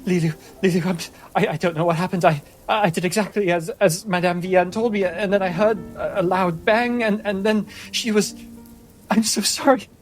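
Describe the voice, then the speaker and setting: A man's voice: high voice, fairly even intonation, normal speed, soft background music, pitched above the performer's own voice, lightly acted. A man voicing a timid, frantic young woman, a devout believer who stammers and pleads. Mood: distraught, apologetic.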